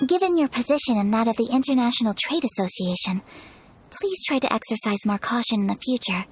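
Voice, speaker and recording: A woman's voice: a little high, animated, fairly quick, breathy edge. An actress playing a polite young noblewoman in a video game, speaking formally with composed courtesy. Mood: composed, firm. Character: refined, polite young noblewoman of high clan.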